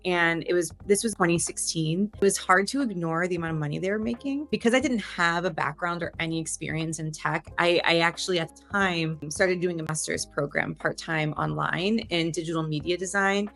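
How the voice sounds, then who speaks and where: A woman's voice: lowish voice, fairly quick, hardly any pauses. Chatty woman in her thirties. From Delaware, US. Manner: chatty, animated, loose restarts. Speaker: guest. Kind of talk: casual interview storytelling.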